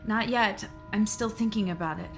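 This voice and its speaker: A woman's voice: mid-range voice, normal speed, faint music behind, lightly acted. An actress playing a conflicted, evasive wife and mother in a musical audio drama, speaking in a hesitant, dry, guarded way. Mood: conflicted, pleading.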